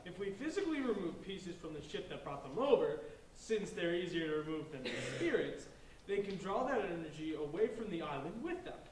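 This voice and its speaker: A man's voice: high voice, sing-song, brisk. A man playing an earnest, brainy young adventurer in a staged play reading, explaining things wordily. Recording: echoey, distant stage recording, faint noise.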